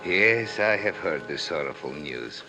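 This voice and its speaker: A man playing a formal, courteous carpet merchant in a 1950s radio drama, with deliberate, theatrical phrasing. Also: low voice, animated, sharp tone, faint music behind, heavily acted. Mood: solemn, guarded. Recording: noisy 1950s radio recording.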